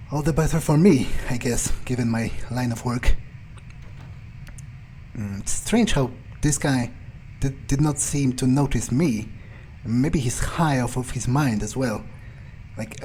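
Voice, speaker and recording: Man, medium pitch, slow drawl, smug, menacing, in-character vampire let's play, musing aloud, faint background noise. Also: darkish tone, rough recording. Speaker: video game player roleplaying a vampire in character.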